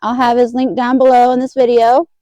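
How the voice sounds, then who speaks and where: Mellow-voiced woman hosting a campfire vlog. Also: a little high, little pitch movement, dry recording. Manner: slow, drawn-out, chatty with fillers. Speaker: vlog host. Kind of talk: casual food vlog chat. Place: outdoors at a campground at night.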